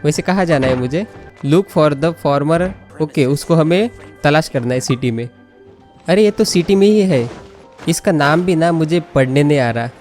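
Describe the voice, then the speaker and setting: Casting: chatty Indian-accented male gaming host, extremely quick delivery, casual let's play commentary mixing Hindi and English, soft music behind. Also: a little high, lively intonation. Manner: chatty, code-switching between Hindi and English.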